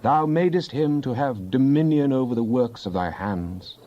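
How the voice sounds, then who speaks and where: A man performs a fervent psalmist addressing God in a dramatized scripture production. The delivery is solemn and declamatory, at a medium male pitch. The recording sounds a little dull, with limited top end. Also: expressive, moderate pace, close to an everyday voice. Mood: exalted praise. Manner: solemn, declamatory, archaic diction. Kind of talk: dramatized King James Bible reading.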